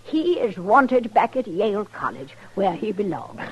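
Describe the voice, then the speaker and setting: A woman's voice: medium pitch, sing-song, a little slow, husky, dull audio, heavily acted. A woman playing a haughty elderly society widow, formal, demanding and indignant with clipped diction, in a radio western. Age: older. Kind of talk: old-time radio western acting.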